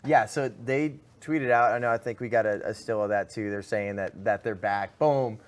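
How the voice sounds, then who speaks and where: A man hosting a live political panel show, talking in a casual, animated, slangy way. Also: fairly low pitch, moderate pace. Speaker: host.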